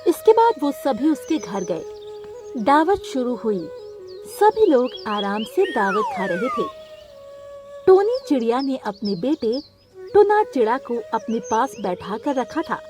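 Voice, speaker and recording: Female storyteller: high, animated delivery of scripted children's narration over music. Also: takes it slowly. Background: background music.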